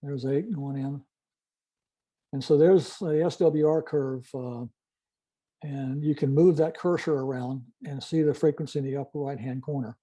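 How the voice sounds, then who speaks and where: An older man giving an informal technical presentation and demonstration, explaining methodically in a halting way. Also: medium pitch, steady tone, dark tone, poor audio. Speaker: club presenter, retired-style veteran telephony engineer.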